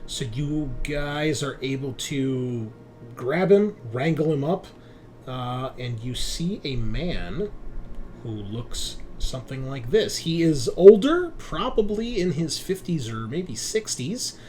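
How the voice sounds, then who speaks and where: A man narrating a tabletop roleplaying game as game master, describing scenes in a halting, improvised way. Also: mid-range voice, animated, a little mellow. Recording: faint background noise.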